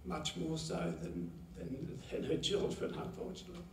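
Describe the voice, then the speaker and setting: A man gives a halting, pause-filled eulogy for his mother at a chapel lectern. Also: on the high side, very animated, slight background noise. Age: 70s. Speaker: son giving a eulogy. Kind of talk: memorial eulogy. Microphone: lectern mic, heard from a far camera. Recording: roomy chapel echo from far off.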